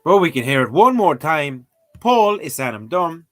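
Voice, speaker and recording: Animated, joking man on a livestream. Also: on the high side, moderate pace. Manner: playful, joking, mock exclamations. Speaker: streamer learning a language. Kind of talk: casual live-stream commentary.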